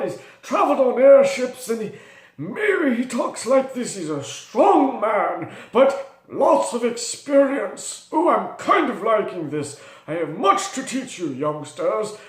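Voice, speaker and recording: A wise and hardy old cleric, played by a man, speaks in a very high voice. His delivery is slow and swinging in pitch, self-assured like a seasoned teacher of tabletop adventurers. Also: heavily acted. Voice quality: high register. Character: wise, strong, well-traveled old male cleric. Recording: echoey room.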